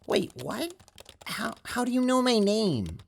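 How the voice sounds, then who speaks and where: A woman playing an earnest, feisty teenage girl in an amateur audio drama, halting and casual, blunt when annoyed. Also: on the high side, big pitch swings, vocal fry, a little hiss or hum, full character voice.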